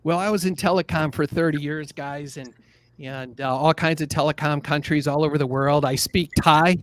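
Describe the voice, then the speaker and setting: A man's voice: a little high, fairly even intonation, moderate pace, a bit bright, no room sound. A man in his fifties, a guest on a podcast, telling his story in an upbeat, self-deprecating way. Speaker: guest, business association president. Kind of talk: casual interview storytelling.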